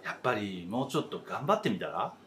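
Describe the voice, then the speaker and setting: A man's voice: on the low side, sing-song, fast talker, a bit bright. A man in his thirties playing a supportive, frank old friend in a radio drama, talking in a casual, encouraging way. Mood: concerned. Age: 30s. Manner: casual, plain-spoken, encouraging.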